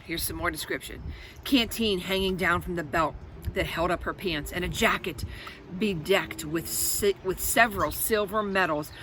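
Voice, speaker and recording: Woman, bright clear-cut storytelling for kids, chatty explanatory asides, slightly noisy home-style recording. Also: lowish voice, normal speed. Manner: expressive, explanatory, addresses children directly. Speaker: read-aloud host for children. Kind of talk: storybook read-aloud with asides to young listeners.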